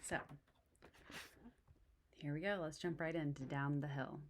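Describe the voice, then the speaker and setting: A slightly low-voiced woman reads a picture book to children. She is expressive and often steps out of the text to chat and ask questions. There is faint noise behind her. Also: measured pace. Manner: expressive, explanatory, checks in with listeners. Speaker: read-aloud storyteller. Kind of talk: picture-book read-aloud with asides to young listeners.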